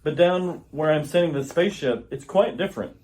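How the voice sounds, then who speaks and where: A man's voice: a little high, lively intonation, a little fast, a little mellow, natural voice. A man playing an earnest, urgent alien scientist, husband and father, with grave, theatrical delivery in old-style radio theater. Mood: tender, earnest. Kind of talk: retro radio theater acting.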